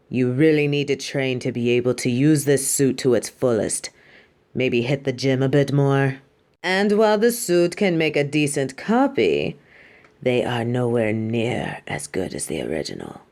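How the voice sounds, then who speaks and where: A woman's voice: extremely low pitch, a little mellow, full character voice. A woman playing a proud, exacting yet fond superhero costume designer in a scripted roleplay, speaking in a grandiose, dramatic way. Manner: grandiose, dramatic, addresses listener as darling. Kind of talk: scripted roleplay audio monologue.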